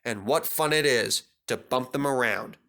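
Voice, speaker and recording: Expressive man reading a children's story, slightly creaky. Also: medium pitch, moderate pace. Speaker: storybook reader. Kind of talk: scripted children's story narration.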